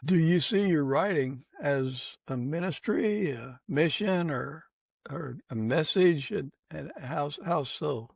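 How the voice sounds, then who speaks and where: A man hosts a podcast interview in a somewhat high voice. He puts his questions conversationally and at a slow pace. The recording is dry, free of room echo. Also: vocal fry, husky.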